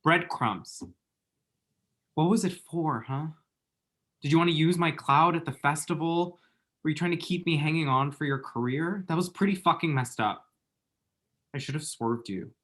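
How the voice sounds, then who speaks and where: A man's voice: fairly high pitch, normal speed, long pauses, fairly bright tone, close to an everyday voice. Man acting an anxious, rambling lovestruck young guy. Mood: hurt, accusing. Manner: chatty, rambling, emphatic. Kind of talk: screenplay table read acting.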